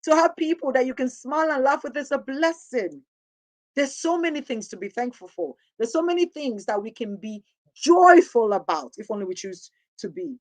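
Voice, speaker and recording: A woman hosting a motivational talk show, her voice husky and hoarse from a sore throat, speaking in an upbeat, encouraging, preacher-like way. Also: high voice, animated, moderate pace, rough recording. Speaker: host.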